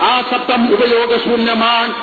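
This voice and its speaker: A man's voice: very high-pitched, monotone, unhurried. A man with a Kerala Malayali accent giving an Islamic discourse, chanting verse and explaining it with emphatic, repeated rhetorical questions. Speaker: Islamic preacher. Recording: echoey, phone-like, distant, with music behind.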